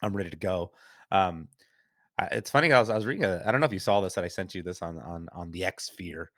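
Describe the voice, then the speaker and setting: A man with a fairly low voice hosts a relaxed podcast, his nose a little stuffed up from a cold. He talks in an easygoing, chatty way and laughs easily. The sound is close and dry, with no room around it. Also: no echo. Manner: laid-back and chatty, quick to laugh. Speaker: podcast host. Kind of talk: casual chat.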